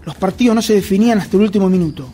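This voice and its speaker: A man acting as an obsessive, jealous man with a notably high voice and a Rioplatense Argentine accent. He confesses deliberately and broodingly, in radio drama style, with soft music underneath. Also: natural voice. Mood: intense. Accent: Rioplatense Argentine Spanish. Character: obsessive jealous man confessing his secret pursuit of a rival. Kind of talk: radio drama narration and dialogue.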